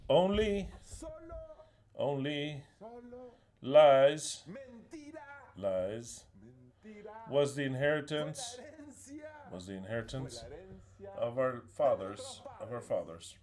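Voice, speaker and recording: Man, argentinian accent, preacher, emphatic sermon, mid-pitched voice, call-and-repeat drilling. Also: animated, slow pace, long pauses, crowd noise. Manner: emphatic, swings between loud stresses and quiet asides; drills the audience to repeat after him.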